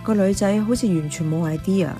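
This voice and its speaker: A woman's voice: medium pitch, fairly quick, warm tone, soft background music, natural voice. A woman narrating a radio drama in the first person as an observant café worker, in a scripted storytelling style. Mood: intrigued.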